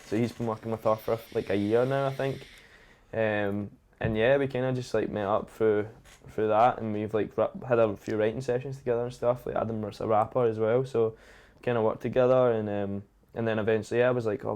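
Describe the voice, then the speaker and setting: A man's voice: fairly low pitch, darkish tone. A man chatting casually and keenly as a podcast guest. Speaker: podcast guest, singer-songwriter. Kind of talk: casual chat.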